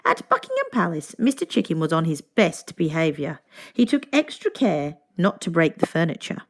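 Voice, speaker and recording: Animated woman reading a children's storybook. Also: deep voice, normal speed. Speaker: read-aloud narrator.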